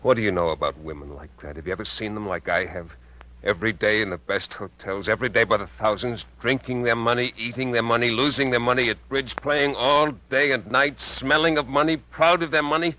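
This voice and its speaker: An American actor playing a menacing, bitter, cajoling killer uncle in a 1950s radio drama, with intense delivery. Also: male voice, medium pitch, fairly even intonation, fairly quick, pushed and bright, lightly acted, rough recording. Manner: intense, swinging from coaxing to contemptuous. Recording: vintage radio recording with hum.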